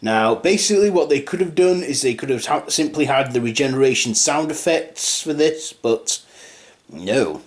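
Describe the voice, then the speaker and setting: A man's voice: mid-range voice, expressive, moderate pace. A man giving an unscripted fan review in a rambling, hesitant way, often trailing off and starting again. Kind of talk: unscripted audio drama review.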